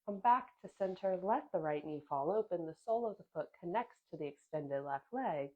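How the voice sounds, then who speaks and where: A woman yoga instructor calmly cueing a seated class, a little sniffly from a sinus infection. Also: lowish voice, narrow, tinny audio. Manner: gentle, calm cueing with soft pauses.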